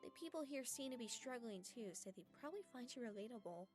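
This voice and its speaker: A woman plays an earnest young fantasy heroine from an anime. Her voice is on the high side, with a gentle, slightly hesitant, explanatory delivery. Faint music plays behind her. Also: animated, fast, lightly acted. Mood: hesitant, frank. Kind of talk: anime fan dub acting.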